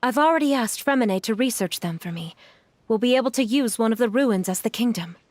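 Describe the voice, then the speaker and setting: A woman playing a gentle, sorrowful mother in a video game, speaking in an earnest, measured way. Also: fairly high pitch, expressive, slight background noise, close to an everyday voice. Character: sorrowful, gentle mother devoted to her ailing son.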